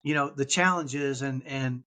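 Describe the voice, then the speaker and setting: A man's voice: medium pitch, measured pace, pushed and bright. A man from Texas with a slight Texan accent, a podcast guest telling his story in casual chat with many restarts and fillers.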